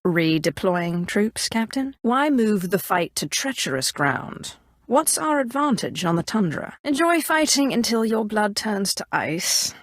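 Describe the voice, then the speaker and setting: An actress playing a cold, commanding Sith Inquisitor in a video game, with an imperious, clipped and dryly sardonic delivery. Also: female voice, mid-range voice, big pitch swings, a little slow, dry recording, studio quality. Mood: cool, probing. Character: female Sith Inquisitor, cold, commanding, menacing young sorceress. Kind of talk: scripted video game dialogue.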